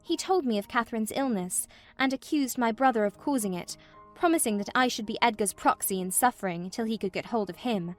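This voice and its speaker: A woman playing an unhappy young gentlewoman, recounting her troubles in measured literary narration for a dramatized audiobook. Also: on the high side, expressive, faint music behind, natural voice. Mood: bitter dismay. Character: vexed, wretched young gentlewoman recounting in a letter.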